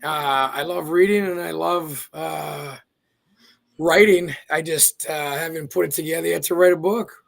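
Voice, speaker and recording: A man with a Wisconsin accent co-hosting a casual live stream, easygoing and quick to react. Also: fairly high pitch, fairly even intonation, creaky. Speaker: co-host.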